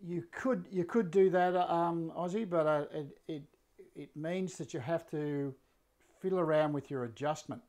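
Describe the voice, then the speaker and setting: A man in his sixties with a slightly croaky voice, casually explaining a woodworking build to a live audience from his workshop, full of fillers and restarts. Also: on the high side, a little slow. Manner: relaxed, rambling, many fillers and restarts. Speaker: woodworking teacher and live-stream host. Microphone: fixed camera, mid-distance framing.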